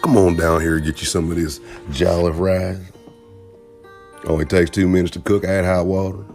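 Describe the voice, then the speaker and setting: A man voicing a folksy male farm-product ad announcer in a mock commercial, with a salesy, drawn-out pitch. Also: flat delivery, a little mellow, faint music behind.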